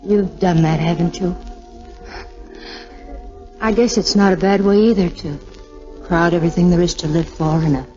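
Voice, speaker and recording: An actress playing an earnest young woman with a husky voice in a 1940s radio drama. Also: fairly low pitch, steady tone, moderate pace, pauses often, mellow, natural voice. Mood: soft, guarded. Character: earnest, perceptive young woman hiding worry. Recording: dull old radio broadcast, faint music.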